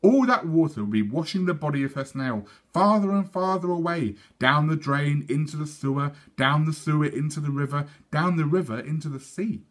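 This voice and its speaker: A man reading a children's story aloud like a teacher, in a measured, expressive way. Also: fairly high pitch. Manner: measured, animated.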